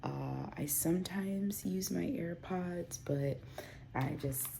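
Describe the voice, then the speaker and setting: A woman vlogging at home into her phone with a very low, gravelly voice and a mellow, dark tone. She rambles casually with plenty of fillers and restarts, and she sounds a little congested from a recent cold. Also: takes it slowly. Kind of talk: casual chatty vlog. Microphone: phone camera.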